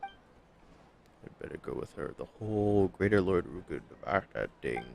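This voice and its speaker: A man's voice: sits low, expressive, measured pace, creaky, slight background noise. A man doing casual gameplay commentary, muttering and humming filler to himself as he streams. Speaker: game streamer.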